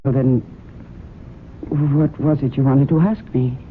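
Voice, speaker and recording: A woman's voice: rumbling low, steady tone, unhurried. An actress playing an anxious, defensive young woman with a secret in a radio drama, speaking in an earnest, tense manner. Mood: guarded, impatient. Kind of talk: old-time radio drama acting. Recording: narrow tinny old radio recording, faint hiss.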